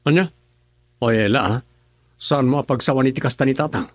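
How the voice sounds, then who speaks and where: An actor playing a defensive young husband in a radio drama, indignant, with an Ilocano accent. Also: male voice, medium pitch, fairly quick, no room sound, studio quality, close to an everyday voice.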